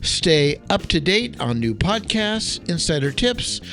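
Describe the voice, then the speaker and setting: A man's voice: high voice, very animated, slow, fairly bright tone, faint music behind. A man hosting a podcast with an enthusiastic, emphatic delivery, as if reading an upbeat script. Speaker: podcast host, chef.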